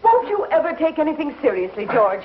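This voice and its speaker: Fretful alarmist wife, high swooping voice, TV western, dull noisy vintage recording. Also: female voice, big pitch swings, a little fast. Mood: alarmed, insistent. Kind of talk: television western acting. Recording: dull, noisy old TV soundtrack.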